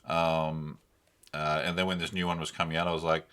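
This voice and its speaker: A man's voice: extremely low pitch, little pitch movement, fairly quick, fairly bright tone, clean tone. A young man co-hosting a podcast, giving casual, unscripted reviews in a rambling, slangy way. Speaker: podcast co-host. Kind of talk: casual unscripted film and game review chat.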